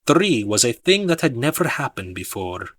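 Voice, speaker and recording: A man narrating an audiobook in a measured, dramatic storytelling manner. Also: mid-range voice, very animated, a bit bright, breathy edge. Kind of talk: expressive scripted audiobook narration.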